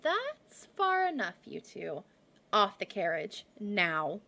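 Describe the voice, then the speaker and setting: Woman as a sharp, creaky, commanding bandit. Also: medium pitch, sing-song, full character voice. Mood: menacing. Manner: slow, curt, commanding. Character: menacing, commanding female stranger blocking a bridge. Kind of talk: fantasy audio roleplay acting.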